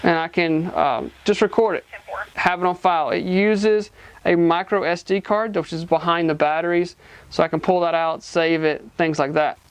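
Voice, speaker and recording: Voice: medium pitch, steady tone, moderate pace, smooth. A person giving a casual, hands-on product demonstration, explaining informally step by step. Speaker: gadget reviewer.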